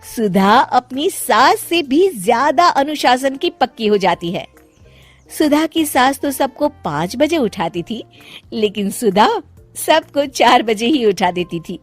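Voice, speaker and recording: A woman's voice: on the high side, expressive, takes it slowly, faint music behind. A woman narrating a scripted moral story for an animation as storyteller.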